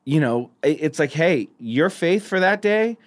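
A man, a worship songwriter, talking earnestly in a casual podcast chat and slowing down to stress his points. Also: on the high side, expressive.

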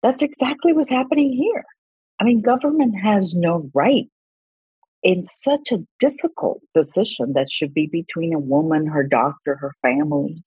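Low husky-voiced woman candidate, from Colombia. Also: normal speed, dark tone, no echo, low-quality recording. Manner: earnest, repeats words when restarting. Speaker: congressional candidate. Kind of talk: political interview.

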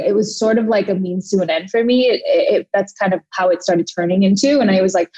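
A woman's voice: medium pitch, darkish tone, dull audio. A woman in her twenties from Thailand, a guest answering interview questions in a chatty way, with fillers and restarts. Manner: chatty, many fillers and restarts, quoting her own thoughts. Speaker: guest, brand founder.

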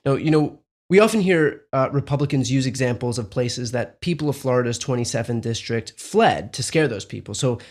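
A young adult man hosting a political podcast, asking his guests questions in a measured, conversational way. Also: medium pitch, lively intonation. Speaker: podcast host. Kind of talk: interview questions.

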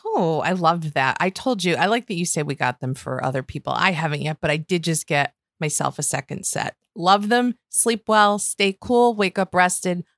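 A young adult woman with a Western New York accent co-hosting a podcast, animated and enthusiastic, swearing casually. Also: lowish voice, moderate pace, hardly any pauses, no room sound, clean studio sound. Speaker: podcast co-host. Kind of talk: casual recap chat.

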